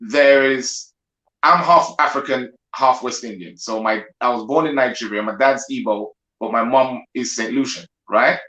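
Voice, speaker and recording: Man making a measured argument in an echoey room. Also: medium pitch, bright, forceful tone, dull audio. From grew up in the United Kingdom. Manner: measured, builds his argument with frequent "right?". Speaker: guest panelist. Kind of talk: discussion monologue.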